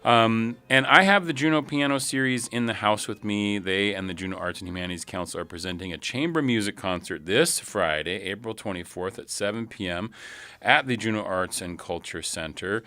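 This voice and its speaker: A man with a lowish voice hosting a public radio show from the studio. He chats casually and warmly with his guests, dropping in the odd "um" and "uh". The speech is relaxed and unscripted. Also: moderate pace, dry recording. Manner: friendly, chatty, with fillers. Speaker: radio host.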